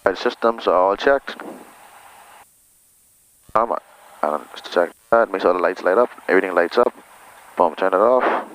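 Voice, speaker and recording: A man's voice: low-pitched, a little slow, pauses often, a bit bright. A man giving informal, reassuring flight instruction as a pilot, talking casually through checks and controls over an aviation headset. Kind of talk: casual cockpit instruction and radio calls. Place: small plane cockpit in flight operations. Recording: noisy aircraft cockpit intercom.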